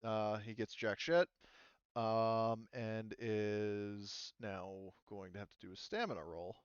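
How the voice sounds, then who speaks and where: A man with a clear, smooth voice runs a tabletop game as game master. His talk is informal and casual, and the audio is dry, close and slightly dull. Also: on the low side, fairly even intonation, slow, dry recording. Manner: informal, swears. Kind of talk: casual tabletop game moderating over online session.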